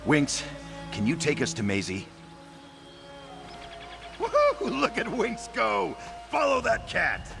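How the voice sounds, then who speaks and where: A man voicing an earnest adventurer devoted to his cat in a video game, with a deep, gravelly voice. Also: huge pitch swings, slow, pauses often, music in the background, exaggerated voice. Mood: excited.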